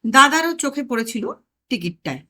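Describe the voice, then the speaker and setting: A woman reading a comic story aloud in an expressive narrating style. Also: fairly high pitch, moderate pace. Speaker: story reader and channel host.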